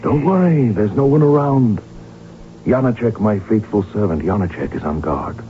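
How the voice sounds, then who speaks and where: An actor in a radio drama playing a lovestruck, determined royal heir who speaks earnestly and formally. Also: male voice, on the low side, animated, moderate pace, a little hiss or hum, close to an everyday voice. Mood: tender, determined. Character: lovestruck, determined Habsburg archduke, heir to the throne. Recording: dull vintage radio broadcast with music.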